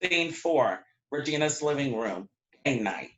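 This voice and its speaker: A man's voice: medium pitch, animated, unhurried, fairly bright tone, muffled recording. A man as a neutral narrator, reading out stage directions at a play reading. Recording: echoey room.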